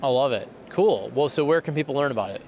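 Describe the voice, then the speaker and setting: A man's voice: medium pitch, expressive, fast talker, darkish tone, a little hiss or hum. Man, podcast host, upbeat and chatty, asks easy follow-ups, working a trade show floor. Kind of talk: casual interview.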